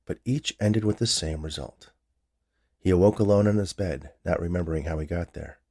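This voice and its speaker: A man narrates a short story in a very low register. His tone is dark and mellow, and he reads as a writer presenting his own scripted fiction. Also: normal speed, breathy edge.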